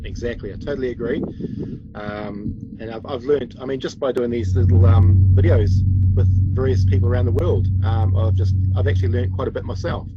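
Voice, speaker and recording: A man's voice: medium pitch, fairly even intonation, a little fast, heavy background noise. Kiwi man interviewing over a lo-fi video call. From New Zealand. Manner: friendly, rambling with restarts. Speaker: interview host.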